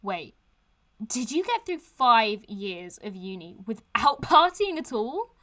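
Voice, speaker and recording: Irreverent, strong-minded young woman, audio drama. Chatty, casual, teasing; higher-pitched, animated delivery. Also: slow, heavily acted. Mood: gossipy, playful. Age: young adult. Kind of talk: audio drama acting, vlog-like casual chat.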